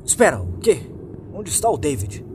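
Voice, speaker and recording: A man's voice: a little high, very animated, moderate pace, noisy background. A man playing a foul-mouthed, jittery young male friend in a horror story, agitated and slangy. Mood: shocked, uneasy. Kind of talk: creepypasta character dialogue.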